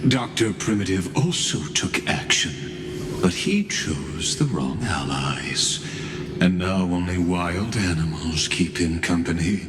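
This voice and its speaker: Ancient, very slow, deep-voiced villain. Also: male voice, lively intonation. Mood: cold, musing. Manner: slow, deliberate, theatrical delivery. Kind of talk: video game voice acting. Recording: echoing hall reverb under music.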